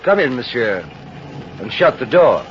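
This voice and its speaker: An actor in an old radio drama playing a kindly, devout priest with a gentle, measured, dignified manner. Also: male voice, medium pitch, sing-song. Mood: welcoming. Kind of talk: 1930s-40s radio drama acting. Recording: dull, noisy old radio recording.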